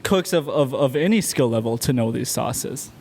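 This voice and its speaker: A man's voice: mid-range voice, expressive, normal speed, a little mellow. A man, working as a radio interviewer and reporter. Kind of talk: interview questions and radio narration. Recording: faint background noise.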